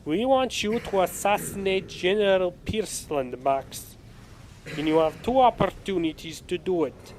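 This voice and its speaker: A man's voice: high voice, lively intonation, a little slow, darkish tone, slight background noise. A man playing a sly, blunt military general with an authoritative, pointed manner in an audio drama. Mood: commanding.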